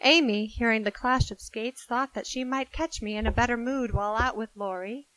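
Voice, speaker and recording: A woman's voice: fairly high pitch, moderate pace, fairly bright tone, dry recording, natural voice, poor audio. A woman playing a hot-tempered, bossy teenage sister in a 1940s-style radio drama, theatrical and emphatic. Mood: narrating, reflective. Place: live theater stage.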